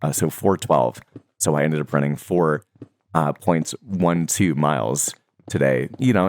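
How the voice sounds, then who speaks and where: A young man who makes Minecraft videos, talking casually to his viewers in a relaxed, rambling way with many fillers and soft laughs. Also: rumbling low. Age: young adult. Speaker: Minecraft content creator.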